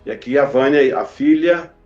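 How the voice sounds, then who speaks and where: A man hosting a church podcast interview in a casual, chatty way, jumping in with quick reactions. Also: medium pitch, darkish tone. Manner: chatty, jumps in with short reactions. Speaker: podcast host. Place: podcast studio. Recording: roomy, muffled studio audio with faint music.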